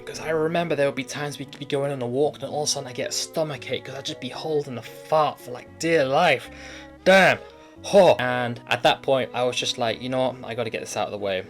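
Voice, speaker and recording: A man's voice: mid-range voice, a bit bright. A young man who hosts an advice show, reading out a viewer email and then chatting casually about it, laughing easily and restarting his sentences often.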